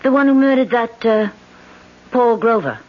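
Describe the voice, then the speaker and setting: A woman's voice: on the high side, animated, normal speed, lightly acted. An actress in a 1970s radio drama playing a guarded woman with a secret, tense and evasive. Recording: dull vintage radio broadcast.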